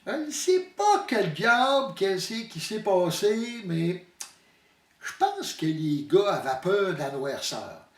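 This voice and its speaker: A man's voice: high-pitched, sing-song, slow pace. A man with a Quebec French accent telling a comic hunting story in a folksy, hesitant way. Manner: folksy, hesitant, mixes up sayings. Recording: roomy echo, distant mic.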